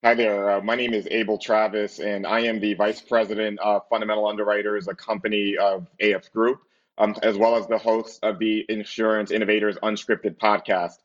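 A man introducing himself as a panel guest in a plain, steady way. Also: on the low side, fairly quick, lo-fi sound. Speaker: panel guest, podcast host.

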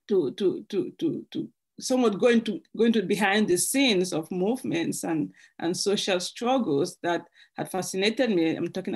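A woman's voice: mid-range voice, darkish tone. Thoughtful woman author from Cameroon. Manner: thoughtful, halting with restarts. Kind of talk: author interview.

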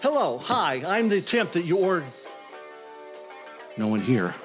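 An actor playing a nervous, imaginative office temp in a comedic audio drama, stammering and talking to himself. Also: male voice, high voice, very animated, unhurried, faint music behind. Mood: uneasy, self-soothing. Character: jittery, imaginative male office temp, easily unnerved.

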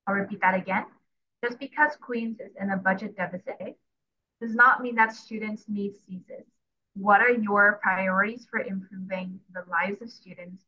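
A woman's voice: medium pitch, breathy edge, lo-fi sound. A woman moderating a candidates' debate, reading questions out to the speakers in turn.

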